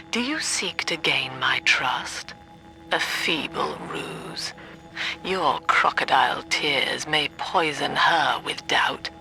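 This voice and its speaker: An actress playing a cold, imperious middle-aged villainess, speaking in a measured, formal, contemptuous way. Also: female voice, deep voice, exaggerated voice, rough recording.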